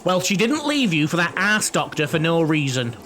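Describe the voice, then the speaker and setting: A man's voice: a little high, sing-song, moderate pace, sharp tone, a little hiss or hum. A man acting in an audio play as a chatty, tactless, cheeky eccentric who is blunt and guileless. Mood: breezy, teasing. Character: chatty, tactless, romance-loving eccentric man named Terry.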